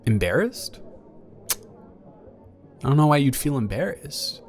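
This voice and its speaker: A man's voice: mid-range voice, sing-song, measured pace, a little hiss or hum. A man playing an awkward, affectionate young ex-boyfriend in an improvised intimate audio roleplay, talking in a hesitant, playful, earnest ramble. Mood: teasing, curious.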